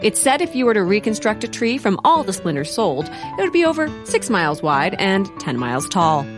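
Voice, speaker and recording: Actress as a wry lady narrator, really animated delivery, music underneath, mock history comedy. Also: medium pitch, a little fast, natural voice. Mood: mock-serious. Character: wry storytelling woman narrator. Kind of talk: scripted comic narration.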